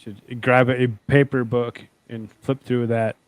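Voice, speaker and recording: A man's voice: mid-range voice, flat delivery, a little slow, smooth, no room sound. A man hosting a show with casual banter in an informal, chummy way. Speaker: show host.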